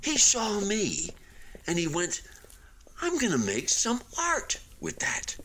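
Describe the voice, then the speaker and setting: An actor in a radio play voicing an unfinished hotel building, a wistful but stubbornly hopeful man who talks in a halting, conversational way. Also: high-pitched, wildly swooping pitch, sharp tone, slight background noise, exaggerated voice. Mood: proud, cheerful. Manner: halting, conversational, with sighs and emphatic swings.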